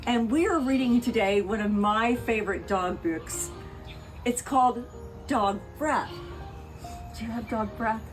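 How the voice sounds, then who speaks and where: A woman reading a children's picture book aloud in an expressive, deliberate way, with playful asides to her listeners. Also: a little high, soft background music. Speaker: picture book reader for a pet rescue show. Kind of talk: animated children's storybook read-aloud.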